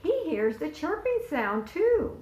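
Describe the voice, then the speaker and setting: Slow, lively woman reading aloud. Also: sits high, highs cut off. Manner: asks young listeners questions and points to pictures. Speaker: volunteer storyteller. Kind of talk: picture-book read-aloud for preschoolers. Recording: echoey room.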